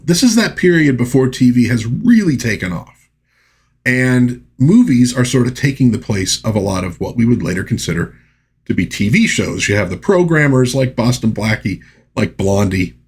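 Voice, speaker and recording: A man's voice: medium pitch, very animated, moderate pace, husky. A man hosting a movie review, recapping and commenting in a conversational, informal and folksy way. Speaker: film review host.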